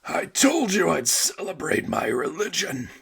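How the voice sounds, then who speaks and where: A defiant young man at death's door, acted by a male narrator, speaks slowly in a raised, very gravelly voice. His halting, breathy delivery swings in pitch, weary and bitter as in a dramatic audiobook. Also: big pitch swings, a bit bright, exaggerated voice. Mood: weary, wistful. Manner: halting, pained, drawn-out delivery.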